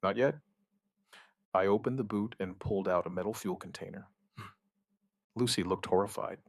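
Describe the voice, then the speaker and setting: A man playing an outbreak survivor who gives a wry, weary witness account in an audio drama, in short, halting, understated phrases. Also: on the low side, dry recording, studio quality. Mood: tense, dry. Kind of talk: scripted first-person audio drama narration.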